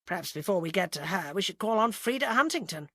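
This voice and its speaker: A woman voicing a polite, composed, teasing upper-class ex-military man in an audiobook, with measured delivery. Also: medium pitch, expressive, bright, forceful tone, breathy edge, no room sound, full character voice. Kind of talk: audiobook character acting.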